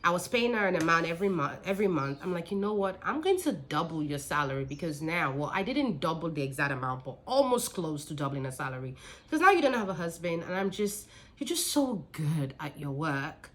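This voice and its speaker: A woman's voice: low-pitched, big pitch swings, a little fast, fairly bright tone. A woman with a Nigerian accent telling a personal story in a casual vlog, emphatic and repeating phrases for stress. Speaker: vlogger, business owner.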